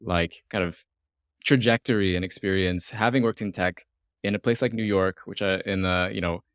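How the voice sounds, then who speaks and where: A man's voice: low voice, fairly even intonation, moderate pace, smooth, dry recording, clean studio sound. A man hosting a casual interview, talking in an informal, rambling, chatty way. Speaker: interviewer and host.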